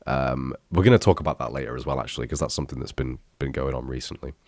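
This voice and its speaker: A young man with an extremely deep voice, thick and stuffy from a cold. He rambles casually and pokes fun at himself, dropping the odd swear word. The sound is dry and close, with no room echo. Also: fast, a little mellow. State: congested, bunged up from illness. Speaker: youtuber reacting to his old videos. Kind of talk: casual reaction commentary.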